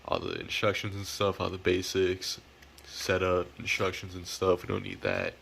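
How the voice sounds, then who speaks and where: A man's voice: low-pitched, monotone, normal speed, vocal fry, a bit bright, slight background noise. A man talking informally as he hosts a hobbyist unboxing and setup video. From United States. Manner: informal, occasionally swearing. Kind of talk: casual unboxing and setup demo.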